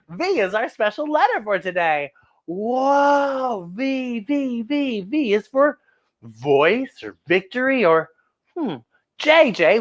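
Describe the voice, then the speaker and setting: A woman hosting a children's story time, excitable and playful, with exaggerated expressive storytelling. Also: high-pitched, dull audio.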